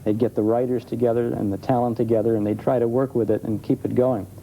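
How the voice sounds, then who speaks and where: An American man chats as an interview guest, explaining things in a relaxed way. His tone is very dark and rounded, at a middling pitch. A faint noise runs through the vintage recording. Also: fast, poor audio. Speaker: guest expert on old-time radio. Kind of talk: casual explanatory interview chat.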